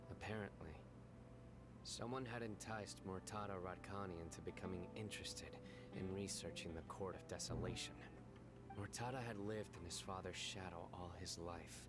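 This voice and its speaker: An actor voicing a stern, serious investigator in a video game, with restrained intensity. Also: male voice, low-pitched, close to an everyday voice. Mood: grave. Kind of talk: dramatic video game dialogue.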